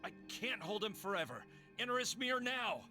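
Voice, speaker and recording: A man's voice: high-pitched, very animated, normal speed. A man playing a desperate, guilt-ridden monster hunter in a video game, earnest and pleading. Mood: urgent, straining. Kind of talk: dramatic video game voice acting. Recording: music behind the voice.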